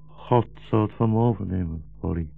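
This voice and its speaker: An actor playing a gentle old blind rabbi, wounded and dying, slow and solemn with a gravelly voice, in a 1960s radio drama. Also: male voice, low voice, soft background music, exaggerated voice. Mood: pained, weakening. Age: older. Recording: muffled 1960s radio broadcast recording.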